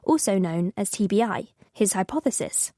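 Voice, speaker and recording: A woman with a British accent narrating a scripted news report in a measured, clear way. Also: mid-range voice, animated, warm tone, no room sound, clean studio sound.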